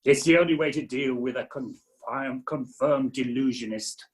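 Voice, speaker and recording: A man's voice: medium pitch, normal speed, natural voice. A man playing a pompous, solemn, pedantic doctor in an amateur comic radio play. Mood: officious. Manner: pompous, emphatic. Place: home, recorded over a video call.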